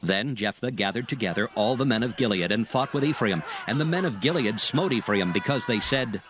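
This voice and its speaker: A man narrating dramatized scripture in a solemn, formal, measured storytelling manner. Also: mid-range voice, lively intonation, noisy background.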